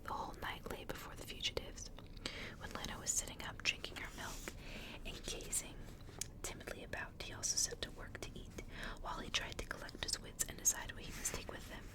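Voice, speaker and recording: A woman whispering a horror story in a soft, breathy, unvoiced ASMR reading. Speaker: ASMR reader and channel host.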